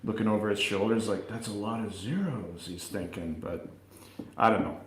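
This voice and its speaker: A man with a fairly low voice banters about movies as a co-host. He is casual and wry, joking as he goes. The recording is noticeably echoey and roomy. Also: fairly even intonation, normal speed. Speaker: co-host of a film review show. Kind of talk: casual chat, film review banter. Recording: roomy, echoing sound.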